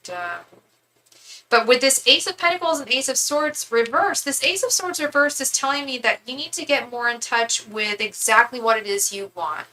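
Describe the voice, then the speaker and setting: A woman's voice: sits high, animated, normal speed, bright, forceful tone, a little hiss or hum, poor audio. A woman in her thirties giving a live tarot reading, chatty and rambling, with many asides and restarts. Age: 30s. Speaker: tarot reader.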